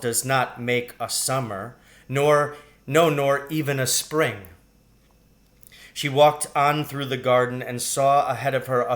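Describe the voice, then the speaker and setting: A man with a medium-pitched voice reads a children's story aloud. His delivery is very unhurried and deliberate, with expressive storybook phrasing. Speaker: audiobook reader.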